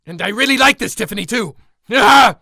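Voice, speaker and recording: A woman's voice: on the high side, animated, moderate pace, bright, forceful tone, dry recording, studio quality, heavily acted. A woman playing an unhinged, hot-tempered mad scientist in a melodramatic, shrieking comedy radio style. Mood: furious, gloating. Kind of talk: over-the-top comedy radio acting.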